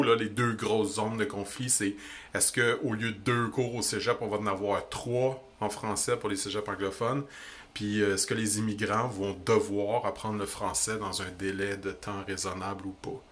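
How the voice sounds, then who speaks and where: A young man with a Québécois French accent, ranting indignantly into the camera about politics in quick, emphatic bursts. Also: on the low side, fairly bright tone. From Quebec. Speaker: vlogger. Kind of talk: heated political rant.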